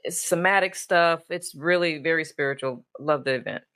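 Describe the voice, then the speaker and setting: A woman's voice: deep voice, normal speed, fairly bright tone, clear, resonant tone, no room sound, clean studio sound. A woman hosting a casual, chatty interview show in an effusive, informal way. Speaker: talk show host.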